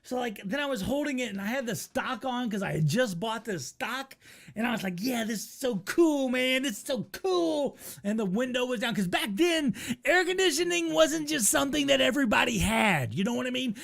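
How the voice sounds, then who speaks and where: A middle-aged American man with a notably high-pitched voice tells a casual story. He rambles through it with salty language, acting bits out in an animated, comic manner. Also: normal speed, gravelly. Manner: profane, animated, acts out his remarks. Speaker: storyteller, host.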